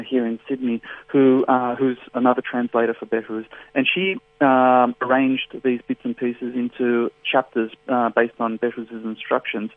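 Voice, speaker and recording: A man's voice: mid-range voice, no echo. A man whose first language is Farsi, speaking as a translator in a radio interview, thoughtful and full of fillers and restarts. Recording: phone-like line.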